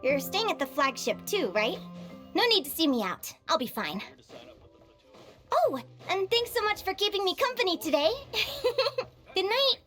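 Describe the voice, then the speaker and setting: High-voiced, upbeat young advisor. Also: female voice, measured pace, long pauses, soft background music, full character voice. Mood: reassuring, then cheerful. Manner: friendly, expressive, conversational. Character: upbeat, self-assured young woman, an earnest advisor. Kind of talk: video game voice acting.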